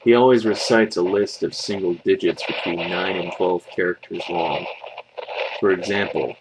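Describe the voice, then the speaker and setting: A man's voice: low-pitched, steady tone, moderate pace, noisy background. A man reading a scripted creepypasta as a horror story narrator.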